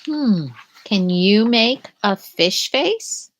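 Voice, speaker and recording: Slow, lively woman reading to children. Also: mid-range voice, a little hiss or hum. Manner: deliberate, dramatic phrasing for young children. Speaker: storyteller reading a picture book aloud. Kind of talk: expressive children's read-aloud, with questions to young listeners.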